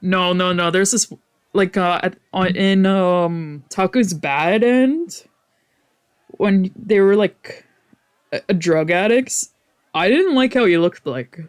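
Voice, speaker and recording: High-pitched man doing casual let's play commentary. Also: slow pace, smooth. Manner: informal, reactive, swearing, trailing off and self-correcting. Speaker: streamer playing and reading a visual novel aloud. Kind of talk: casual let's play commentary mixed with read-aloud narration.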